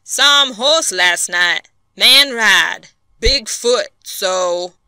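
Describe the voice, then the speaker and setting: A woman's voice: mid-range voice, animated, very slow, pushed and bright, smooth, dry recording, heavily acted. A woman voicing an old male trapper who speaks in short, broken phrases. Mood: hesitant.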